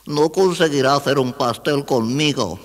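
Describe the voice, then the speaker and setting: A man's voice: medium pitch, normal speed, dry recording, voice pushed up, natural voice. A man voicing a small female magic spider in a children's story, a wry, bungling little spellcaster.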